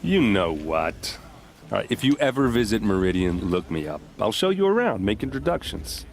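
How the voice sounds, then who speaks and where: A man's voice: fairly low pitch, sing-song, normal speed. An actor playing a breezy, flirtatious, confident man chatting teasingly in a fantasy game. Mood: flirtatious, boastful. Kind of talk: casual dialogue in a fantasy game.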